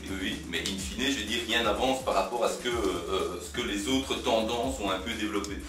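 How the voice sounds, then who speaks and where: Low-pitched man debating in echoey room. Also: normal speed, over music. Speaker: politician in a debate. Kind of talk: unscripted political debate. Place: cafeteria. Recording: roomy, distant mic, background noise.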